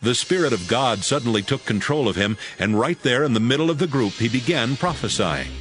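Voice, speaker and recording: A man narrating a dramatized audio Bible in a measured, expressive storytelling manner. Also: mid-range voice. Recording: faint music behind the voice.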